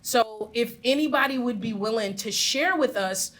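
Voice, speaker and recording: A woman's voice: fairly high pitch, normal speed, fairly bright tone. A woman facilitating a community meeting on a handheld mic, upbeat and encouraging as she invites people to share. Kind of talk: live meeting hosting. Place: large meeting room with audience.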